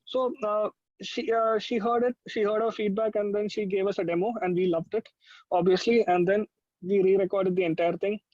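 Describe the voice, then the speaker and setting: A high voice for a man, close and dry with a slightly dull sound. He is a young adult with an Indian accent, talking casually in an interview. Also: fairly even intonation, normal speed, a little mellow, dry recording. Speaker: musician, interview guest. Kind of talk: casual chat. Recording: dry but slightly muffled audio.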